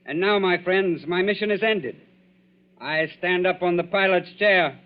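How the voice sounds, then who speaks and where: An actor playing a smug, fanatical young Nazi saboteur in a 1940s radio thriller, with precise, formal and pompous speech. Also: male voice, sits high, a little slow, smooth. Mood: exultant. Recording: muffled, very noisy 1940s radio recording.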